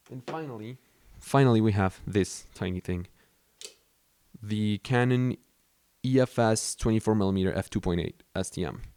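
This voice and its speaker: A man's voice: lowish voice, darkish tone, dry recording. A young man from Mexico whose first language is Spanish, rambling casually about his camera gear with many fillers and restarts. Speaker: vlogger.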